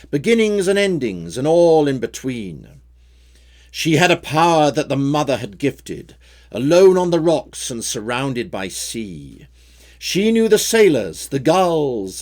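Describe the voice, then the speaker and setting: A man reading his own story poems in a measured, theatrical way with a rhyming cadence. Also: medium pitch. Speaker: poet reading own work. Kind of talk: dramatic poetry recitation.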